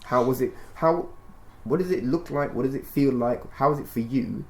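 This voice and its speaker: A man hosting a podcast interview in a measured, instructive way, rephrasing his points and prompting his guest as he talks. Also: mid-range voice, darkish tone. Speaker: radio show and podcast host. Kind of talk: conversational interview, explaining and prompting.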